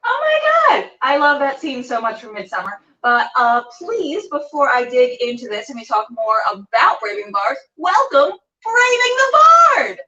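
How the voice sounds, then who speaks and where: A woman's voice: sits high, big pitch swings, unhurried, few pauses, sharp tone. A woman hosting a casual livestream interview in an effusive, exclamatory way. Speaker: interview show host. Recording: dull, slightly distant sound.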